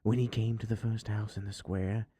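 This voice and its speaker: A man narrating a rhyming storybook theatrically, sometimes dropping into a hush. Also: on the low side, level tone, normal speed, slightly rough, no room sound. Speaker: story reader.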